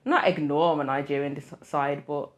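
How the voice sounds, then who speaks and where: Young brummie woman, low voice, easygoing chatty guest, laughs a lot. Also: normal speed. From Birmingham, England. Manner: relaxed, chatty, laughs often. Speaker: podcast guest. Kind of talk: casual interview chat.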